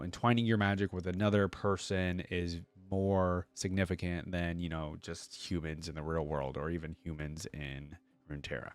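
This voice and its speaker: A man's voice: fairly low pitch, dry recording. A man hosting a reaction video, reading lore aloud in a casual way with chatty, musing asides. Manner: chatty, musing asides between read passages.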